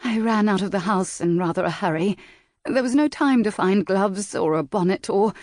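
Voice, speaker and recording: A woman's voice: medium pitch, normal speed, a little mellow, no room sound, studio quality, natural voice. A woman voicing a timid, distraught young lady's companion in an audiobook, pleading and fretful.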